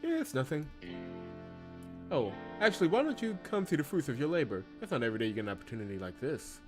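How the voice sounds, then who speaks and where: A man's voice: fairly high pitch, very animated, fast talker, darkish tone. A man voicing a cheerful, teasing, friendly young gang woman in a visual novel. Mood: friendly, apologetic. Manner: chatty, teasing. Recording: music behind the voice.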